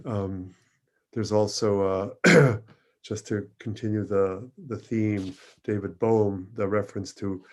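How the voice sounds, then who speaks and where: Low, slow, halting male host. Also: steady tone. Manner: halting, reflective. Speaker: event host. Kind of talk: off-the-cuff commentary on readings.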